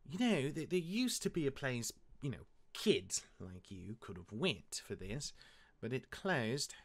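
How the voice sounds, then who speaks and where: A man's voice: medium pitch, very animated, measured pace. A man playing a cocky, easygoing god who mentors his younger sibling in a fantasy audio drama, casual, rambling and teasing. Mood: breezy, teasing. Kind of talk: fantasy audio roleplay acting.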